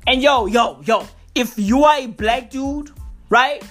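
A woman hosting a podcast in a loud, profane comedic rant, her voice going hoarse. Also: fairly high pitch, slow, faint music behind. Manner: loud, excitable, swearing constantly, slangy. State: hoarse, losing voice. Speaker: podcast host.

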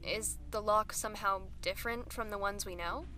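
A woman's voice: medium pitch, steady tone, normal speed, sharp tone, close to an everyday voice. An actress playing a persistent female reporter who questions an official in a probing, skeptical way. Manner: probing, skeptical, hesitant fillers. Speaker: journalist interviewer. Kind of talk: audio drama acting.

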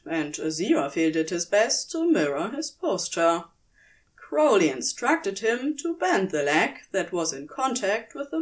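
A woman narrator reading scripted fiction, telling the story between lines of dialogue. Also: mid-range voice.

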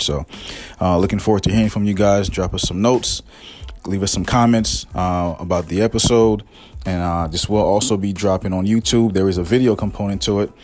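A man in his forties from Haiti, hosting a podcast and chatting casually with a guest, with many fillers. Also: low-pitched. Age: 40s. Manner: fast, relaxed, conversational with fillers. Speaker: podcast host. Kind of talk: casual interview chat.

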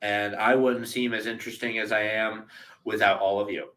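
A man's voice: lowish voice, little pitch movement, normal speed, pushed and bright, distant mic, slight background noise. A man co-hosting a show, bantering and teasing playfully. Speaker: co-host. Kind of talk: casual banter.

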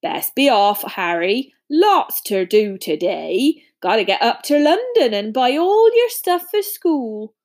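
A woman acts out a huge, gruff but kindly giant man from a storybook. He speaks in a rough, colloquial way, grunting, clipping his sentences and taking pride in himself. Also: a little high, big pitch swings, slow pace, hardly any pauses, exaggerated voice. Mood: hearty, reassuring. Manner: colloquial, dropped words, grunting. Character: big gruff friendly giant man, proud and rustic.

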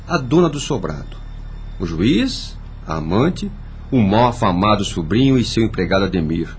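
An actor playing a proud, suspicious former police chief with a Brazilian accent in a radio drama. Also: male voice, on the low side, slight background noise. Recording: music under the voice.

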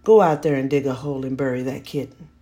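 A woman's voice: lowish voice, moderate pace, warm tone, slightly rough, voice pushed up. Woman doing a harsh scolding mother. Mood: angry. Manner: scolding, taunting.

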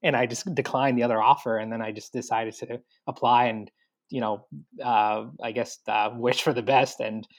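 A man's voice: medium pitch, level tone, moderate pace. Chatty man with a mid-range voice. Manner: chatty, many likes and fillers, acts out his own thoughts. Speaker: guest, university staff. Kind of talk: conversational interview, telling his career path.

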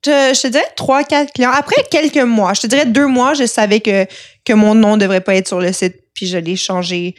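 A woman's voice: mid-range voice, steady tone, fairly quick. Candid young Québécoise woman. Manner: candid, frank, laughs easily. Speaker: podcast guest. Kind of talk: casual conversational storytelling.

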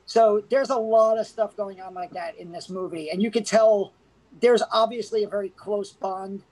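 Relaxed, knowledgeable male podcast co-host. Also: sits high, moderate pace, rough recording. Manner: relaxed, knowledgeable, frequent fillers and restarts. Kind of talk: casual movie review chat.

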